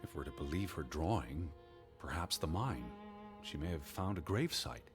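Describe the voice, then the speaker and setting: An actor playing an educated, dismissive American male naturalist of the 1920s in a video game, speaking in a measured, formal, pedantic way. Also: extremely low pitch, lively intonation, over music. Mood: pondering. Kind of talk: scripted game dialogue and reading aloud.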